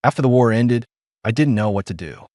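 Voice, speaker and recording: A man voicing a weary, gruff human ex-mercenary who speaks low and clipped. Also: expressive, fairly quick, darkish tone, no echo, studio quality, lightly acted.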